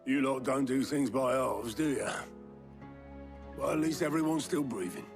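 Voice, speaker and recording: A British actor playing a gruff, wry middle-aged man in a fantasy video game, blunt and colloquial. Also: mid-range voice, normal speed, natural voice. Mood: wry, sober. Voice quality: gravelly, creaky. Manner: blunt, colloquial, dryly teasing. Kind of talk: cinematic video game acting. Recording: music behind the voice.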